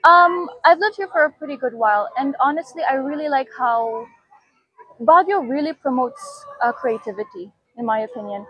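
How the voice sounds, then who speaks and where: High, husky young woman chatting. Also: moderate pace, no room sound, dull audio. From Philippines. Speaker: interview guest. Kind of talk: casual interview answers.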